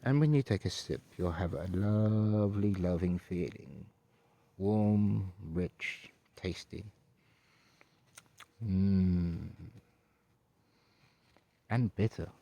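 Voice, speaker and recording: A man voicing a formal, courteous British butler with a posh English accent, polite and gently devoted. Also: low-pitched, extremely slow, creaky, pauses often, no room sound, exaggerated voice. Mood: tender, languid.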